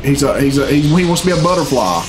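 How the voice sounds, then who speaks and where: A man's voice: a little high, sing-song, quick pace. A man in his thirties giving casual reaction commentary in a blunt, sarcastic, profane way. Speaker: reaction video host. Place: small bedroom at home, indoors. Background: game cutscene music.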